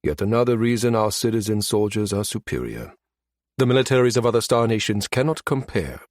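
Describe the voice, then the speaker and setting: Male narrator as contemptuous ruler, low voice, clipped superior delivery, dry sound, science fiction audiobook. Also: lively intonation, a little fast, dry recording. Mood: proud, disdainful. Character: haughty male premier of an empire, coolly contemptuous.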